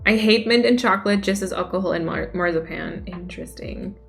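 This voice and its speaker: A woman's voice: lowish voice, a little fast, creaky. Chatty young streamer woman reading chat. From Denmark. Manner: chatty, reacts aloud to chat. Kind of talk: casual chat reading viewer messages. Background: faint music.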